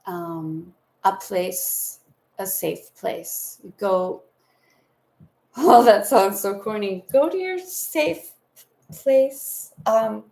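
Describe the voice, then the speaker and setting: Slow, gravelly-voiced woman reading tarot. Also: mid-range voice, animated, mellow. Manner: soothing, meandering, spiritual talk with affectionate asides. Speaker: tarot reader. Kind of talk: live-streamed tarot reading, casual.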